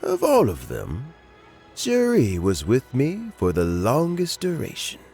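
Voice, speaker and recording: A man playing a gentle, thoughtful elderly mentor in a video game, reflective and measured. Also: medium pitch, wildly swooping pitch, creaky, soft background music, heavily acted.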